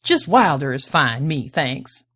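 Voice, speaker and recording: A rough, thin drifter of the spaceways speaks in a put-on lilting accent, played by a woman reading an audiobook. He is folksy and polite, with a low voice that plays the grateful prospector. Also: big pitch swings, takes it slowly, pushed and bright, dry recording, studio quality, heavily acted. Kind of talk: audiobook character voice.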